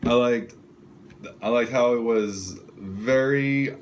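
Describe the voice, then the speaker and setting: A man's voice: medium pitch, slow, slight background noise. A man co-hosting a casual film discussion podcast in a slangy, loosely phrased way. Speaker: podcast co-host.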